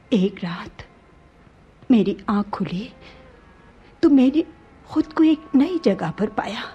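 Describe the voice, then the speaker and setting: High-voiced, sorrowful young princess. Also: female voice, mellow, husky, lo-fi sound, heavily acted. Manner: plaintive, slow, theatrical Urdu diction. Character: young lovesick Chinese princess, sorrowful and insistent. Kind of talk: radio drama acting.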